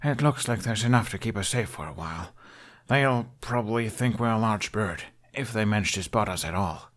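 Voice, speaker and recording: A man's voice: lowish voice, moderate pace, lightly acted. A man voicing an awkward, evasive young Viking boy with a hesitant, earnest manner in an audiobook. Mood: planning.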